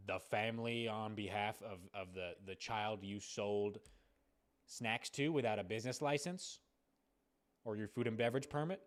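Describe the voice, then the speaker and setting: A man's voice: lowish voice, moderate pace, natural voice. Man playing stern, officious American legal bully. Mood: officious, pressing. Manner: officious, pressing, repetitive. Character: stern, bullying adult threatening a kid with lawsuit. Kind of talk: prank phone call.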